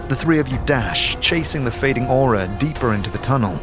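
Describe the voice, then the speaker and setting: A man's voice: mid-range voice, expressive, brisk. Man narrating fantasy over music. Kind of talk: scripted second-person fantasy audio drama narration. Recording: music behind the voice.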